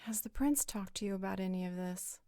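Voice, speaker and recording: A woman's voice: mid-range voice, fairly quick, warm tone, no echo, studio quality, lightly acted. A woman playing a frank, teasing, worldly supernatural guardian who speaks bluntly in a roleplay audio drama. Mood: matter-of-fact.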